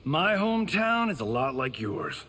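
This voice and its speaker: A man's voice: a little high, sing-song, unhurried, fairly bright tone, big-room sound, full character voice. An actor voicing a smug, brash male movie star in a video game.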